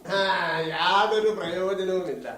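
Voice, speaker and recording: A man's voice: sits high, takes it slowly, background noise. An actor playing a stern elderly father and family patriarch in a radio drama, commanding, with a southern Kerala Malayalam accent. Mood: commanding anger. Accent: Kerala Malayalam, southern Travancore. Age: older. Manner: commanding, peremptory. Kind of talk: mid-century Indian radio drama acting. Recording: roomy, echoing radio studio sound.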